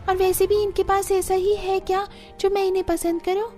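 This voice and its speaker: A woman voicing a spoiled, snobbish modern young woman with an affected drawl in an animated family drama. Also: very high-pitched, moderate pace, faint music behind. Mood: disdainful. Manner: affected, drawling, mixes in English phrases.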